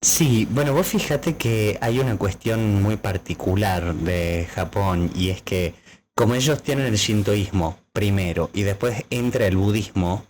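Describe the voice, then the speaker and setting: A man's voice: lowish voice, a little slow, a little mellow. A man, explaining things to his co-host in a casual podcast. He talks in long, lecturing stretches padded with fillers. A faint background noise runs under his voice.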